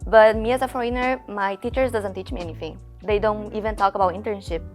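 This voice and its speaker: A woman's voice: mid-range voice, fairly even intonation, fast, faint music behind. A woman in her twenties from Brazil, a university student, chatting in English with many "like"s and "so"s. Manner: chatty, uses "like" and "so" a lot.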